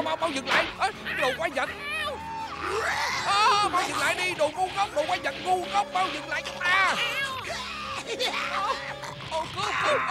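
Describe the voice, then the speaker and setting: A woman voicing a hot-tempered, scheming old male wizard in a cartoon dub, scolding and exclaiming. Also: very high-pitched. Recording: loud music behind the voice. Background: loud music.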